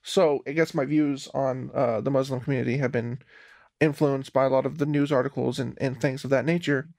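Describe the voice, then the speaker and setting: A man's voice: medium pitch, fairly even intonation, a little fast, darkish tone, no echo, clean studio sound. A man speaking hesitantly and thoughtfully in a recorded personal conversation.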